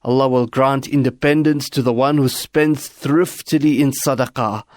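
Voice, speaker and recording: A man's voice: medium pitch, fairly even intonation, moderate pace, no echo, studio quality. A man narrating scripted religious sayings, with music behind his voice. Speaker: narrator of hadith.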